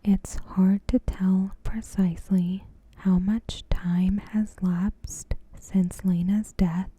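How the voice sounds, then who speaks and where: A woman softly whispering in an ASMR style, reading out a recap with measured pauses and a breathy voice. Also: medium pitch, dry recording. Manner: soft-spoken, slow, measured, pausing mid-sentence. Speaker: ASMR video creator.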